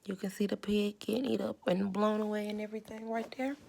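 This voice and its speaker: A woman reads a children's story aloud in a medium-pitched voice with noticeable creak. She narrates and explains in simple terms, like an instructive reader for young listeners. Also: fairly even intonation, brisk, darkish tone.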